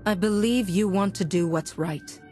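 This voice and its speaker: A woman playing a composed, persuasive and compassionate Jedi heroine in a video game, measured and earnest. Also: medium pitch, dark tone, soft background music, natural voice. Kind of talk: video game cutscene acting.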